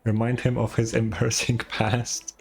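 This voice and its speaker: A man's voice: mid-range voice, completely flat delivery, moderate pace, vocal fry. A man giving casual reaction commentary as he plays a game, muttering and thinking aloud with some hesitation. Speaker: gaming streamer. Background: game music.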